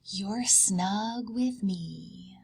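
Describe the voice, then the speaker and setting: Woman as a slow, soothing mama bear. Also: mid-range voice, creaky, a little mellow, slightly rough, heavily acted. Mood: hushing, soothing. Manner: soothing, patient, unhurried. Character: gentle, reassuring mother polar bear.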